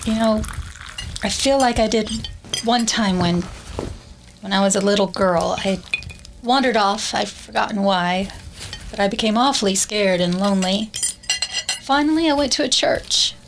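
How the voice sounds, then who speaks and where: A woman's voice: on the high side, noisy background. A woman playing an anxious, tender young survivor in a radio drama, wistful and earnest in her delivery.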